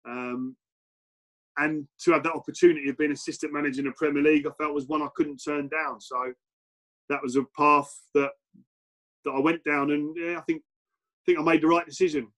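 A middle-aged man with an English accent talks through his playing and coaching days in a casual interview. His voice sits slightly high for a man, and he pauses, restarts and fills gaps with "um" as he thinks aloud. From England. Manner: relaxed, reflective, many fillers and restarts. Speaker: former footballer and coach, interview guest.

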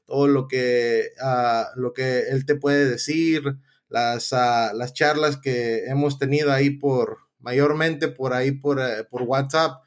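A man's voice: medium pitch, steady tone, slow pace. A man with a Mexican accent hosting a casual podcast interview, chatty and rambling, with many restarts. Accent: Mexican Spanish. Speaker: podcast host.

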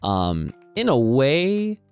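Male co-host, rambling casual chat, animated pitch, dry sound. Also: extremely slow, clear, resonant tone, no echo, faint music behind. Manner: rambling, lots of fillers and restarts. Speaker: podcast co-host.

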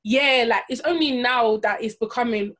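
A chatty woman on a podcast, loose and slangy, laughing through her words. Her voice sits a little high. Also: normal speed, a bit bright. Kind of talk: casual chat.

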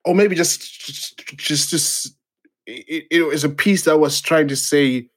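A man from Zimbabwe, a playwright being interviewed, talking reflectively and haltingly with many restarts and fillers. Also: on the high side, fairly bright tone. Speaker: playwright guest.

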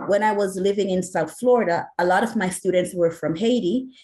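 A woman's voice: mid-range voice, fairly quick, darkish tone, low-quality recording. A woman with a Jamaican accent, an educator answering an interview question earnestly and passionately, with many "you know" fillers. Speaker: educator and academic.

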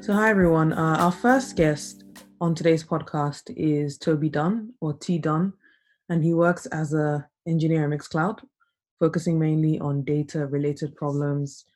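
A woman hosting a remotely recorded podcast interview, talking in a soft, relaxed way. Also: low voice, a little slow, soft background music. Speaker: podcast host. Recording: not studio quality, remote.

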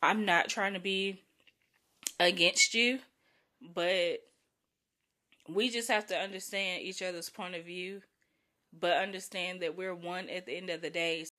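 A young woman with a bright, forward voice hosts a relaxed solo podcast. She speaks frankly, with slang and the odd curse, and she is fighting off a cold that leaves her voice a little strained. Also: fairly low pitch, unhurried, pauses often. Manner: chatty, frank, uses slang and light swearing. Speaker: podcast host. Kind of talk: casual solo podcast monologue.

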